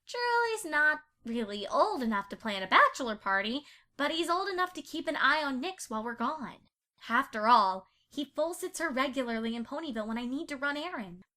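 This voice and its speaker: A woman's voice: high voice, very animated, fairly quick, bright, forceful tone, heavily acted. A woman playing an organised, eager young female pony in an amateur audio drama. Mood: reassuring.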